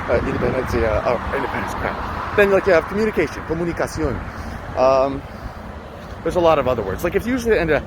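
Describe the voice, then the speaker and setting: A young man chatting casually while walking city streets and vlogging, rambling and swearing now and then. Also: fairly high pitch. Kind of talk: casual walking vlog talk. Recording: street noise behind.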